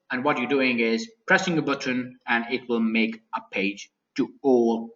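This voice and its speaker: A man giving an informal technical product presentation as a webinar presenter, explaining in a halting, repetitive way with frequent restarts. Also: medium pitch, a bit bright. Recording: dull, lo-fi webinar audio.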